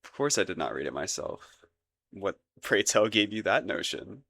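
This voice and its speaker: Man playing a flustered low-voiced crown prince, gravelly. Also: sing-song, normal speed, pushed and bright, exaggerated voice. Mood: curious, embarrassed. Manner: hesitant, stammering, formal old-fashioned courtly phrasing. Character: shy, flustered, lovestruck young crown prince, courtly and awkward. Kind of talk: romantic roleplay ASMR audio acting.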